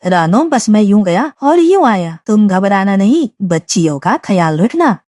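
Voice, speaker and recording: A woman's voice: medium pitch, sing-song, normal speed, warm tone, dry recording, clean studio sound, pitched below the performer's own voice, lightly acted. A woman voicing a caring, reassuring male husband bird in a cartoon story. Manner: reassuring, instructing. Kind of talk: Hindi cartoon story dubbing.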